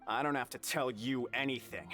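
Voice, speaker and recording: A man plays a tough, proud gang spokesman in a video game. He speaks firmly and streetwise at a middle pitch, with music playing underneath. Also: normal speed, pushed and bright, close to an everyday voice. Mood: guarded, threatening.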